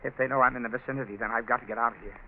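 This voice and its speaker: Actor as cornered male fugitive, quick hesitant speech, grudging, 1940s radio drama, crackly thin recording. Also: mid-range voice, steady tone, lightly acted. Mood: wary, puzzled. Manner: hesitant, stammering, protesting. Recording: scratchy, narrow-band 1940s radio recording.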